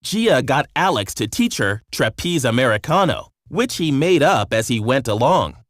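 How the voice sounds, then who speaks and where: A man narrating a scripted children's storybook in an expressive, measured storytelling manner. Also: medium pitch, dry recording, studio quality.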